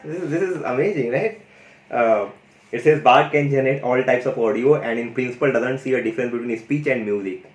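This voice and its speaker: A man's voice: medium pitch, fairly quick. A man running a casual, enthusiastic tutorial on an AI audio tool, often saying "you know" and "guys". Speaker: tech YouTuber demonstrating an AI audio tool. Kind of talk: casual screen-share tutorial. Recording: roomy echo.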